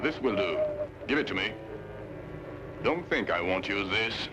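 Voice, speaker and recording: A menacing, curt crook. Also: male voice, fairly low pitch, lively intonation, measured pace, very noisy. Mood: cold menace. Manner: curt, threatening. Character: menacing, cold male armed crook demanding treasure. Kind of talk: old-time detective drama acting. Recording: dull vintage soundtrack, music behind.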